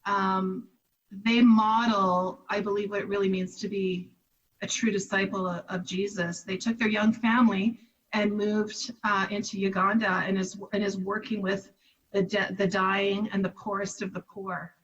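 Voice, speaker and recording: A woman's voice: medium pitch, flat delivery, normal speed, a bit bright, far from the mic, lo-fi sound. A Canadian woman in her fifties, a charity director, talking reflectively in an interview and laughing easily. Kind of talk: conversational interview.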